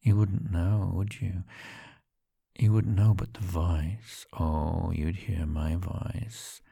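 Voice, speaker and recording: A man speaking softly and teasingly to the listener in an intimate monologue, often dropping to a whisper and chuckling between phrases. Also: deep voice, level tone, takes it slowly, vocal fry, breathy edge, dry recording, studio quality.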